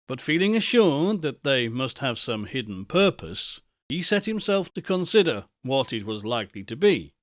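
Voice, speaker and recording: A man reading a classic ghost story aloud as an audiobook, in a measured, expressive storytelling manner. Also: on the high side, no echo, clean studio sound. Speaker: audiobook narrator. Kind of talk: scripted narration of a classic novel.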